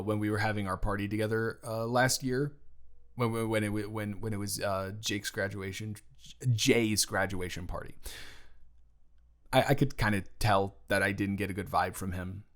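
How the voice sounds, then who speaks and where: A man's voice: on the low side, fairly even intonation, moderate pace, lightly acted. A man playing a protective, smitten young friend in an intimate improvised audio roleplay, sincere and flustered, stammering and restarting his phrases. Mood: reluctant, disapproving.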